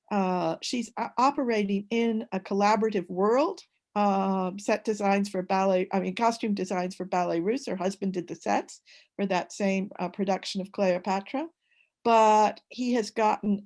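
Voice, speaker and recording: A woman on an academic panel, talking in a measured, hesitant way with many filler sounds over a video call. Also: mid-range voice, darkish tone.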